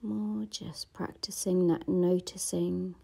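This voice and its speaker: A woman guiding a gratitude body scan meditation, speaking softly and slowly and often dropping into a half-whisper. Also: on the low side, steady tone, gravelly. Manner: slow, soft-spoken, often half-whispered. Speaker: meditation guide. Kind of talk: guided meditation.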